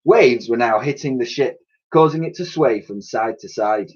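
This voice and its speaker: A man's voice: medium pitch, normal speed, slightly rough. A man reading a children's story aloud with expression, on a dull home recording. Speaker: story reader.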